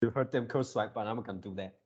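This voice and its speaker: A man hosting a casual fan reaction video, gushing excitably in halting, drawn-out phrases. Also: lowish voice, husky, highs cut off.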